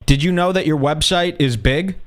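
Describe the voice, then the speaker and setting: A man's voice: fairly high pitch, normal speed, natural voice. A man playing an aggrieved, self-important businessman making an indignant, exasperated customer complaint on a prank call. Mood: mock earnest.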